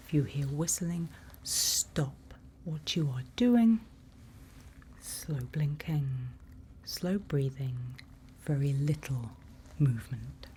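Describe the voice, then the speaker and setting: A woman performing a whispered ASMR roleplay as a calm night-watch guide, breathy, instructive and reassuring, close to the microphone. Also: deep voice. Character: calm female night-watch guide in a dark monster-filled forest. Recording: faint background ambient noise.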